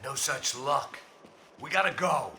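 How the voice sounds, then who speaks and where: An actor playing a weary, cynical middle-aged American ex-cop bodyguard in a noir video game, deadpan and sardonic. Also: male voice, mid-range voice, a little slow, slight background noise. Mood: curt, commanding. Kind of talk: hard-boiled noir game narration and dialogue.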